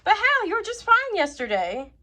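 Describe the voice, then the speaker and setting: A woman's voice: extremely high pitch, wildly swooping pitch, a little fast, bright, forceful tone, exaggerated voice. A woman voicing a nosy, rambling schoolgirl who quizzes people in a children's story. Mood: suspicious. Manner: inquisitive, rambling. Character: nosy, chatty schoolgirl classmate questioning her friend.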